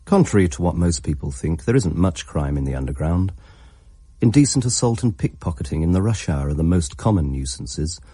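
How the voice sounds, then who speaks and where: A man narrating a radio drama in a measured, ominous storytelling manner, as a grave teller of dark lore. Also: sits low, animated, slightly rough, dry recording.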